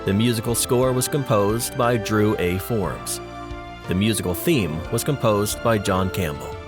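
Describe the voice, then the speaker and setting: Low-pitched male announcer over prominent music. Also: measured pace. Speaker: credits announcer. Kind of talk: scripted narration.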